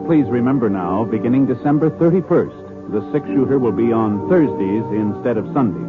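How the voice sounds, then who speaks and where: A man announcing in a formal, measured, scripted 1950s radio style. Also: medium pitch, animated. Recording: old tinny radio broadcast over music.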